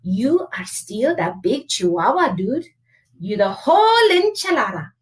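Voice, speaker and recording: An excitable little tomcat kitten from a storybook as a woman acts him out. The voice sits high and swings widely, with playful, theatrical exclamations. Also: a little slow, recorded from a distance, heavily acted. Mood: boastful. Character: excitable little boy Siamese kitten posing as Chihuahua.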